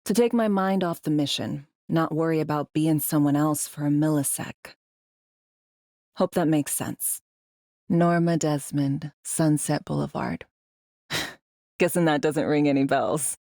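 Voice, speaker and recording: A low female voice, recorded close and dry, plays a worldly spy for a video game. She talks in a clipped, slangy way, with a sardonic edge and the weariness of someone long in the field. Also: measured pace, warm tone, no echo. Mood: wistful. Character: world-weary, wry female undercover spy in a dystopian city. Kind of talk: video game voice acting, conversational dialogue.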